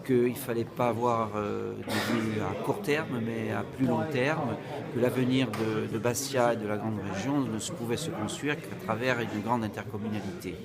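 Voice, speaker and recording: A male politician giving an interview reaction in a halting, deliberate way with drawn-out hesitations. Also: lowish voice, steady tone, gravelly. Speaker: local politician. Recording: loud crowd noise around him. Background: loud crowd noise.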